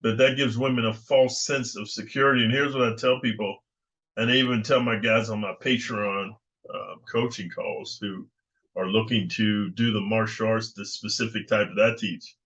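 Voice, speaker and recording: A man's voice: on the low side, fairly even intonation, moderate pace, fairly bright tone. An older man hosting his own channel, talking in an assertive, rambling way with lots of fillers and restarts. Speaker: YouTube channel host. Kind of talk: casual commentary monologue. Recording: muffled lo-fi recording.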